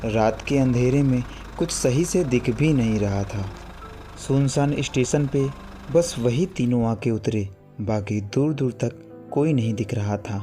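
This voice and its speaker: A man's voice: medium pitch, unhurried, warm tone, faint music behind. A man narrating a cartoon horror story in a dramatic scripted style.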